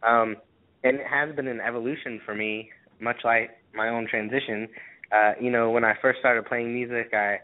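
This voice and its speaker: A man's voice: medium pitch, fairly even intonation, normal speed. A man chatting casually as a musician guest in an interview. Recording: thin phone line, radio show.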